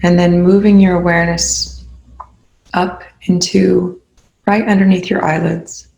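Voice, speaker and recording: A calm, unhurried speaker leading a guided meditation in soothing spoken instructions with long pauses. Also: medium pitch, creaky, low-quality recording.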